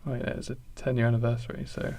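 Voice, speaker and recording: A man co-hosting a podcast, improvising a silly story casually in a halting, meandering way. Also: medium pitch, monotone, a little mellow. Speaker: podcast co-host.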